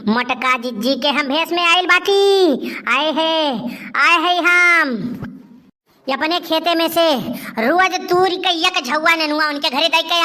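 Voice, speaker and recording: A woman's voice: very high voice, bright, forceful tone, exaggerated voice. A woman playing a menacing, vengeful village spirit in a comedy cartoon, threatening and chanting phrases over and over.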